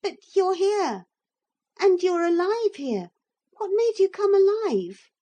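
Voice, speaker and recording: A woman's voice: very high voice, very animated, takes it slowly, dark tone, voice pushed up, heavily acted. A woman reading a storybook, voicing an anxious young boy who pleads and asks questions eagerly. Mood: pleading.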